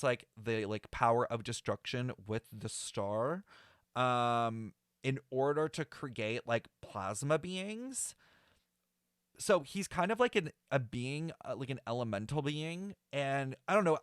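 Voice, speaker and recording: A man giving casual, rambling reaction commentary in a chatty, slangy, excitable way. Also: mid-range voice, no room sound, studio quality. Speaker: reaction streamer.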